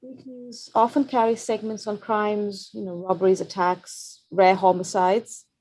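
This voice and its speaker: A woman from Pakistan, a journalist, giving an earnest, measured talk over a video call. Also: medium pitch, little pitch movement, a little mellow, low-quality recording. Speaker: journalist, panel speaker. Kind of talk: prepared webinar talk.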